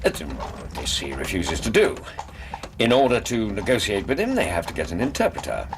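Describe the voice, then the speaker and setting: A solid, earnest English gentleman in a radio drama. The voice is very deep yet animated, formal and a touch pompous. Clear street noise and clopping hooves run behind it. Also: brisk. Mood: earnest, theorising. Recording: noisy street ambience with horse hooves.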